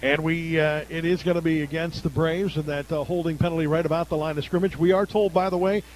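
A man works as a radio play-by-play announcer, calling a football game live. His voice sits high for a man as he follows the action down by down. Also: fairly even intonation, quick pace.

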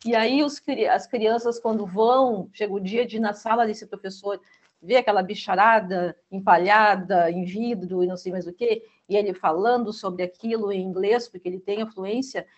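Woman, school rep, mid-pitched, chatty and filler-heavy. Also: steady tone, normal speed, lo-fi sound. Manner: chatty, uses many fillers like né. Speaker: school representative. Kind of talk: conversational interview answers.

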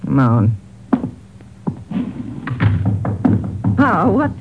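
A woman's voice: sits low, huge pitch swings, a little slow, loud music behind the voice, exaggerated voice. An actress playing a fearful, pleading old mother of a fugitive in a 1950s radio drama. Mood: wary. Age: older. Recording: tinny, narrow old radio recording.

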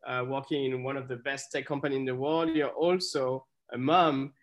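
A man's voice: medium pitch, fairly even intonation, normal speed. A man hosting an interview, chatty and encouraging, with many fillers and restarts. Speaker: interview host. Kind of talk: casual interview.

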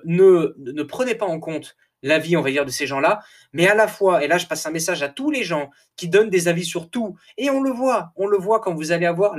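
A man hosting a podcast in a casual motivational monologue, animated, laughing often, repeating and restarting words, a little tired. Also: high voice. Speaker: podcast host.

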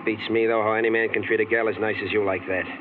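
An actor playing a breezy, persuasive truck driver in a 1940s radio drama, slangy and easygoing. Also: male voice, on the low side, little pitch movement, quick pace, lightly acted. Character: smooth-talking male truck driver, Hal. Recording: tinny old radio, clear background hiss.

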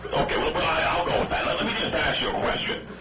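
A man from Toronto calling into a show, loud and a little apologetic, stumbling over his words. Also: fairly high pitch, lively intonation, fast talker, heavy background noise. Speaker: caller.

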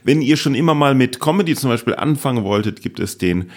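A man's voice: medium pitch, expressive, fast. A man hosting a comedy podcast in casual chat, playful, repeating words for comic effect. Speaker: podcast host.

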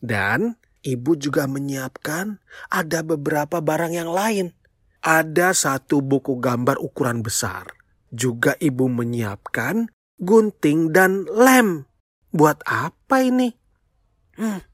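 A storyteller telling a children's tale in an expressive, playful way, speaking straight to young listeners with exclamations and questions. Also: medium pitch, slow, no echo, clean studio sound. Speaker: children's storyteller, show host.

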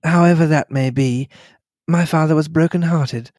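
Man doing a reserved young wife, quiet, measured, confiding, slightly raised pitch, dry sound. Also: no echo, natural voice. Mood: thoughtful. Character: gracious reserved young English wife, woman.

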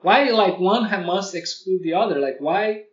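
A man's voice: high voice, normal speed. A man co-hosting a podcast, speaking English as a second language in a rambling casual chat full of fillers. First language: not English. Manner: rambling, lots of fillers and likes. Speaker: podcast co-host. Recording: roomy, distant, dull sound.

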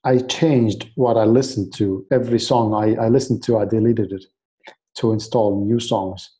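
Earnest Iraqi-born man, dark low voice. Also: fairly even intonation, normal speed. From Iraq. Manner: earnest, repeats and restarts words. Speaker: guest. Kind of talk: casual interview.

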